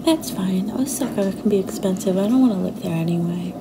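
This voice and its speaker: Woman acting young girlfriend, affectionate, a bit possessive, soft whispery ASMR delivery, gravelly creak, noisy ambient recording. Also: mid-range voice, brisk, lightly acted. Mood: thoughtful, affectionate. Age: young adult. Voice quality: soft, often breathy and whispery.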